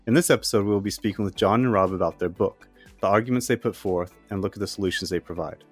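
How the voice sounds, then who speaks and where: A male interviewer reading a scripted introduction, then asking brisk, curious questions. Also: lowish voice, breathy edge, soft background music.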